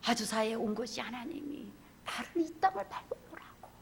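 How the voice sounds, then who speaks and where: A woman pastor preaching a fervent sermon, swinging from loud cries to hushed whispers. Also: on the high side, expressive, normal speed, vocal fry, slight background noise.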